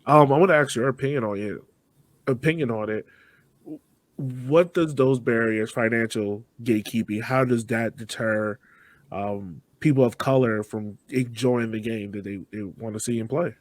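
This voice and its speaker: A man hosting a podcast, interviewing conversationally and phrasing his questions deliberately and with care. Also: medium pitch, no echo.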